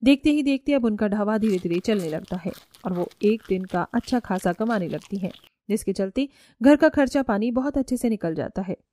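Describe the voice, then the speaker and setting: A woman's voice: medium pitch, expressive, a little fast, dark tone, no room sound, studio quality. A woman narrating a scripted story as its narrator.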